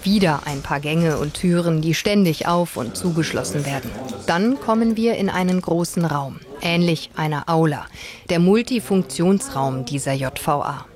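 A woman's voice: low voice, normal speed, a crowd in the background. A woman working as a radio reporter, giving scripted reportage and asking interview questions.

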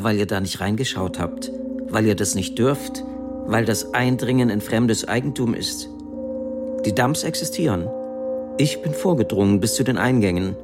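An actor reading the court statement of a defiant ex-soldier defendant in a combative, insistent manner. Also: male voice, fairly low pitch, natural voice. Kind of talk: re-enacted courtroom testimony.

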